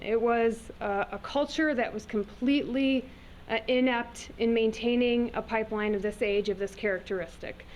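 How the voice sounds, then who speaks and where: A woman gives a public talk in a loud, brisk, earnest voice that sits fairly high. A faint hiss of room noise runs under her words. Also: poor audio. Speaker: guest speaker on pipelines. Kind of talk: public presentation.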